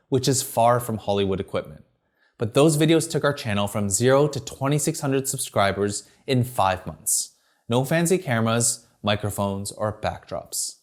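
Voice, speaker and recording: A man presenting a scripted video marketing tutorial from a teleprompter in a clear, structured, upbeat way. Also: medium pitch, a little mellow.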